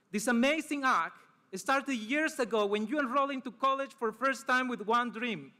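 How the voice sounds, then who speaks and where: Bright-voiced young man. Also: high voice. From El Salvador. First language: Spanish. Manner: earnest, measured, short phrases with pauses. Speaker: student commencement speaker. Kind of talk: formal prepared speech.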